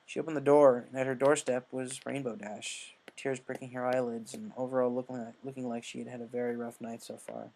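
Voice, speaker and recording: A man reading a scripted story as narrator, in a measured way with occasional stumbles. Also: mid-range voice, flat delivery, vocal fry, darkish tone, low-quality recording. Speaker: story reader.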